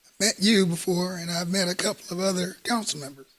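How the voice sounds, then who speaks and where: A hoarse man, president of a homeowners association, speaking in support at a city council meeting with hesitant pauses. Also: sits high, steady tone, a bit bright, low-quality recording. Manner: hesitant, many fillers. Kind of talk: public comment at council meeting. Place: council chamber.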